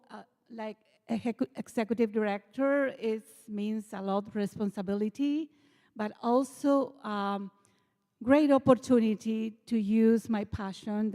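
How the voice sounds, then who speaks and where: A woman's voice: mid-range voice, dark tone. Bolivian-accented woman speaking earnestly in a hall. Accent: Bolivian Spanish. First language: Spanish. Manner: earnest, halting non-native English. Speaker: nonprofit executive director. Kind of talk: speech to a church assembly. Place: large church hall. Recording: long reverb of a large hall.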